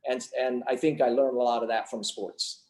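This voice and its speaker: A man from Taiwan speaks at a middle pitch, answering interview questions steadily. He pauses often and restarts his sentences. His voice carries a noticeable room echo. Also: little pitch movement, darkish tone. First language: not English. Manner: measured, many fillers and restarts. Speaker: sports team owner, guest.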